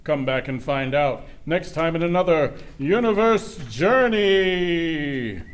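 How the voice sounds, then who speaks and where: An actor playing the narrator of a space adventure radio serial, with a theatrical scripted delivery. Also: male voice, a little high, lively intonation, slow pace, a little hiss or hum.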